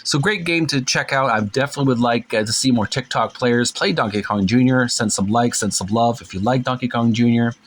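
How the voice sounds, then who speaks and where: A man with a medium-pitched voice gives casual commentary as a host. His delivery is folksy and rambling, with hesitant phrasing as he describes things or reads captions aloud. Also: fairly quick, few pauses, fairly bright tone. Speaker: host of a retro gaming channel. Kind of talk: casual show-and-tell commentary, reading book captions aloud.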